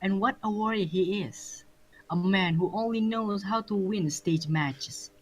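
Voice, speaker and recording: A woman voicing an evil, smug unicorn sorceress who taunts slowly and theatrically in an amateur fan fiction reading. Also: mid-range voice, slightly rough, low-quality recording. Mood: smug, taunting.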